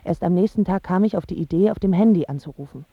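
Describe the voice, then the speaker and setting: A woman's voice: fairly low pitch, quick pace, husky, dry recording, highs cut off. An actress playing a lovesick, bewildered young woman who narrates her story plaintively and emphatically in a radio drama. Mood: anxious.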